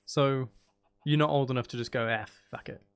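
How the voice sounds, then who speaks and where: A man's voice: mid-range voice, steady tone, moderate pace. A man casually chatting on a live game stream, musing aloud and talking to viewers as he plays. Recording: faint background noise.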